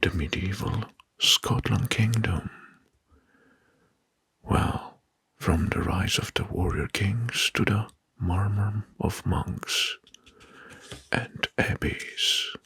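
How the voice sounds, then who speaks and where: A man narrating history as an ASMR host in a breathy whisper, slowly and deliberately, repeating words, close to the mic. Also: deep voice, fairly bright tone. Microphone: close microphone.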